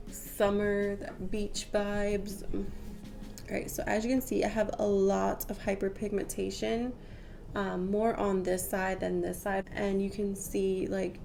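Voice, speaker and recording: A woman's voice: mid-range voice, vocal fry, dark tone. Young woman, stuffy from a cold, rambling beauty-vlog chat at close range, faint music underneath. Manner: chatty, rambling, with many "um" and "like" fillers. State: congested from a cold. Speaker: beauty vlogger. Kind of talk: casual makeup tutorial chat. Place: small room at home, patterned wallpaper behind. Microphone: camera at close range, head and shoulders.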